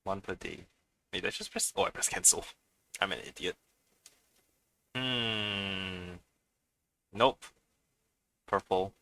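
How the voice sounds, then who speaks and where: A man's voice: deep voice, takes it slowly, creaky, pauses often, sharp tone. A man live-streaming a video game with casual, unscripted commentary, muttering to himself and swearing freely.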